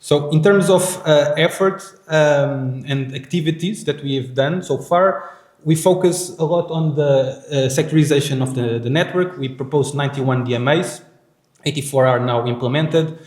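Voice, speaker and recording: A man from Portugal with a Portuguese accent, giving a technical conference talk in halting English with many fillers and restarts. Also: fairly high pitch, darkish tone, echoey room. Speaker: conference presenter.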